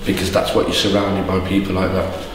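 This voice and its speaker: A man's voice: low-pitched, flat delivery, a little fast, crowd noise. A man in his thirties, a hostel resident speaking candidly and reflectively in a documentary interview. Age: 30s. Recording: echoey hall, distant mic, noise.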